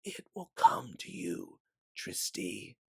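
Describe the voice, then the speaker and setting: Audiobook villain: menacing whispery male phantom voiced by a male reader, taunting, creaky, hushed. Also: deep voice, huge pitch swings, slow, dry recording, full character voice. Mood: sinister, hushed.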